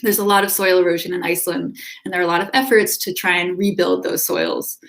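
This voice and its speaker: A woman scientist in her thirties presenting her work over a video call in a friendly, clear way. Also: lowish voice, steady tone, fast talker. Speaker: soil scientist guest.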